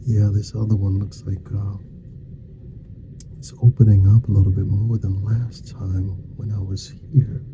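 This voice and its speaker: A man speaking softly, at times nearly whispering, in an ASMR roleplay as a dentist, with folksy, reassuring small talk in short murmured phrases. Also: lowish voice, level tone, measured pace, dull audio, background noise. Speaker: ASMR performer playing a dentist. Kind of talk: ASMR roleplay, soft-spoken to half-whispered.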